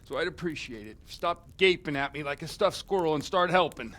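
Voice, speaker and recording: An actor playing a hungover, scheming village priest in a video game, groaning and coarse-mouthed in a dramatic way. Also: male voice, a little high, lively intonation, brisk, fairly bright tone. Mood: scheming, pleading. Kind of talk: video game cutscene acting.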